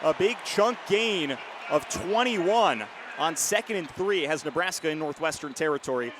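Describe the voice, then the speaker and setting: A man's voice: on the high side, lively intonation, normal speed. A man in his twenties calling a football game on radio in clipped, descriptive phrases. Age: 20s. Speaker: play-by-play football announcer. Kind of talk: live radio sports commentary. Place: live at a football stadium. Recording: loud stadium background noise. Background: stadium ambience and noise.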